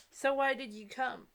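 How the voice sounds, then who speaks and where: A woman's voice: high-pitched, fairly bright tone. A young woman co-hosting a casual comedic read-aloud, breaking off for giggly, incredulous asides. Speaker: co-host reading book excerpts aloud.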